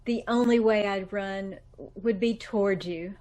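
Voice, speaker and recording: A woman acts out a secretive young woman in love in a 1940s-style radio play. She speaks slowly, with nervous little laughs and halting stammers. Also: medium pitch, fairly even intonation, a little mellow, lightly acted, poor audio. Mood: happy, tender. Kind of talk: 1940s radio drama acting.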